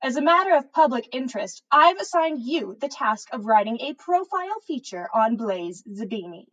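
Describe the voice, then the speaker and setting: A woman's voice: a little high, very animated, moderate pace, a bit bright, dull audio. A woman voicing a teenage witch schoolgirl, a bossy, scheming gossip editor with a teasing, knowing manner, in a story reading. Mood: snappy. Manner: teasing, matter-of-fact, knowing.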